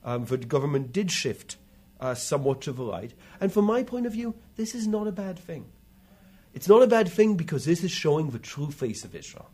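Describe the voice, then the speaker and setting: Guy with a slightly high, husky voice, stop-start political commentary, leans hard on key words. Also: sing-song, dark tone. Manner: halting, emphatic, repeats words for stress. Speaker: interview guest.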